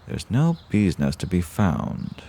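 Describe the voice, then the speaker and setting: A man's voice: low-pitched, very animated, slow, dark tone, a little hiss or hum, full character voice. A man playing a verbose, genteel small-town podcast host and dutiful son in an audio drama, speaking in folksy, flowery phrases. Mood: relieved, genial. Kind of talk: audio drama, mock radio-show narration.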